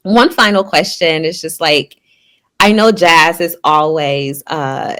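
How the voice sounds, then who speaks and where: A woman's voice: low-pitched, slow, a bit bright. A woman from Liberia, raised in Detroit, asking interview questions in a friendly way with fillers and hesitations.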